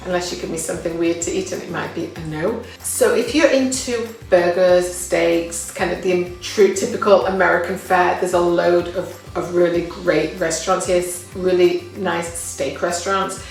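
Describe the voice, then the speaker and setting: A woman's voice: on the low side, steady tone, normal speed, gravelly, few pauses, heavy reverb, far from the mic, soft background music, poor audio. A woman with an English accent, talking casually and upbeat about local living, with fillers and restarts. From England. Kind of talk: casual talk to camera.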